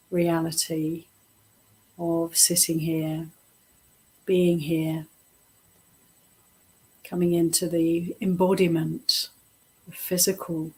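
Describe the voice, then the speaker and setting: A woman guides a meditation in a slightly low, breathy and husky voice. She speaks very slowly and gently, setting out short phrases with pauses between them. Her delivery is softly instructive, as a teacher leading a practice. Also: rough recording.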